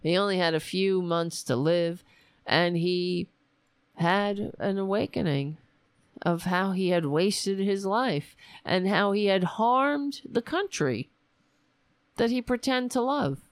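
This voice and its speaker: A woman hosting a casual political talk show, rambling and sarcastic, hoarse because she is losing her voice. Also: mid-range voice, slow, no echo.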